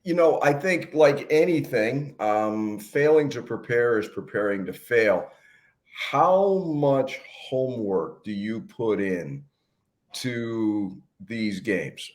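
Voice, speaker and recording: A man's voice: medium pitch, animated, slow. A man hosting an interview, asking questions conversationally and quoting sayings. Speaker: host. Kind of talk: casual interview.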